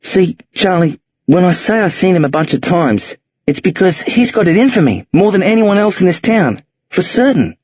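A man voicing a tough, streetwise teenage boy who talks low and rough in slang on a dull, lo-fi recording. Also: very animated, moderate pace, darkish tone, no room sound, full character voice. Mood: uneasy. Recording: dull lo-fi audio.

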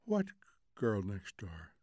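A man voicing a vague, drowsy wife in a story reading, soft and faint, hesitant and faltering with trailing repetitions. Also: mid-range voice, expressive, unhurried, no room sound, studio quality. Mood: sleepy, faint. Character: vague, drowsy, detached wife, forgetful and indifferent.

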